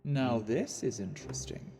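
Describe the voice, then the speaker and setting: An actor playing a sly assassin posing as a charming nobleman, narrating an audio drama in a courtly, measured, theatrical way. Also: medium pitch, expressive, natural voice. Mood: watchful intrigue. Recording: long reverb, music underneath.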